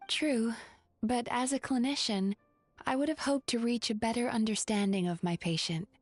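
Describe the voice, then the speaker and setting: A woman playing a composed, caring, gently wry young therapist with a measured, articulate manner in an anime-style video game. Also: a little high, no echo, soft background music, close to an everyday voice. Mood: reassuring.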